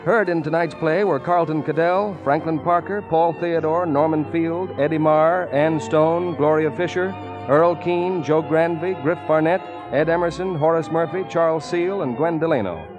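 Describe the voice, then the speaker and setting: A male announcer reads in the style of forties network radio. His voice is on the high side, over prominent music, and the old recording is muffled. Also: normal speed. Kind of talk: 1940s radio announcing, scripted credits. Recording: dull 1940s radio recording.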